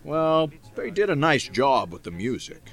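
A man's voice: fairly high pitch, very animated, a little slow, faint music behind, full character voice. A man playing a fussy, grumbling musician from the past in an audio drama.

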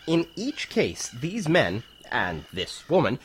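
An actor playing an enthusiastic, patient professor in an audio drama, explaining deliberately with pauses. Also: male voice, mid-range voice, wildly swooping pitch, slight background noise. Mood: explaining, amused. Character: enthusiastic male professor who discovered time-travel wormholes, patient explainer.

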